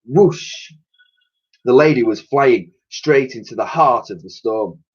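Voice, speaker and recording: A man's voice: medium pitch, lively intonation, slow pace, husky, lo-fi sound. A man reading a children's story aloud like a teacher, with theatrical, suspenseful narration and emphatic sound effects spoken by mouth.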